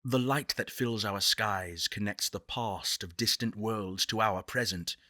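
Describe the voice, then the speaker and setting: Bright-voiced, solemn visionary space director. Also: male voice, on the low side, no room sound, clean studio sound. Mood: lofty, reflective. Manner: articulate, deliberate, solemn. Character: earnest, eloquent male visionary space-program director. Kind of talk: scripted game voicelines, measured oratory.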